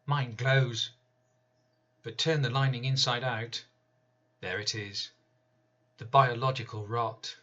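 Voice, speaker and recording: A man reading his own poem aloud in a slow, deliberate, measured way. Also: mid-range voice, steady tone, sharp tone, muffled recording. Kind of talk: spoken-word poetry reading.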